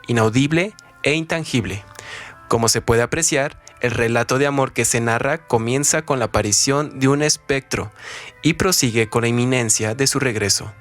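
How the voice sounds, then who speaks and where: A man presenting a scripted literary radio segment in a measured, formal reading manner. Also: mid-range voice, a bit bright. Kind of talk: scripted narration, trivia about a novel. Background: background music.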